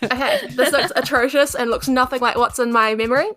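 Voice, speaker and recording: A woman's voice: high-pitched, animated, fairly quick, fairly bright tone, a little hiss or hum. A woman with a New Zealand accent chatting casually as a tabletop player, wry and self-deprecating. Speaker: player in a tabletop role-playing podcast. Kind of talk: casual chat.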